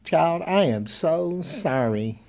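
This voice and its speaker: A man's voice: fairly high pitch, lively intonation, slow pace, creaky, a little mellow, pitched above the performer's own voice, heavily acted. Puzzled and apologetic kindly pharmacist, as a man voices him. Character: puzzled male pharmacist, sorry and kind.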